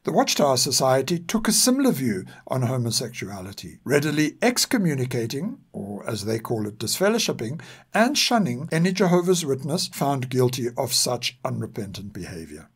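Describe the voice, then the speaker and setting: Older man, lively intonation, husky. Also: medium pitch, moderate pace. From South Africa. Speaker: narrator and podcast author. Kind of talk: scripted historical narration.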